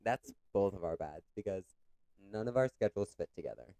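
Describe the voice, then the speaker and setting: Young guy cohosting a podcast, kinda stuffy and congested, low smooth voice, dry close sound, rambles with lots of likes. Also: animated, a little fast, mellow, no echo. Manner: chatty, many "like" fillers, retells stories with quoted voices. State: congested with a cough. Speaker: podcast co-host. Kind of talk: casual chat.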